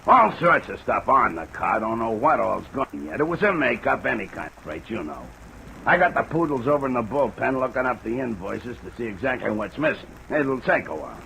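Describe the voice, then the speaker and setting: Gravelly old railroad bull. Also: male voice, medium pitch, big pitch swings, fairly quick, bright, forceful tone, full character voice. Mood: eager, brisk. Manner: folksy, blunt, heavy railroad slang. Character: gruff, slangy veteran railroad detective, aging and eager. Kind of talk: old-time radio drama acting. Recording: noisy, hissy old radio recording.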